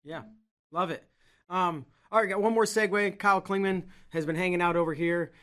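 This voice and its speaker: A man's voice: high voice, moderate pace, a bit bright. A man hosting a wrestling podcast, asking easygoing, loosely phrased questions. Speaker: podcast host. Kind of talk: casual interview questions.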